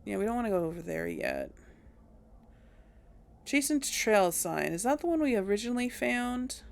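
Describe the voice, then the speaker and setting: A woman casually commenting on her gameplay, thinking aloud with hesitant pauses and small chuckles. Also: mid-range voice, wildly swooping pitch, gravelly. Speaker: let's play gamer.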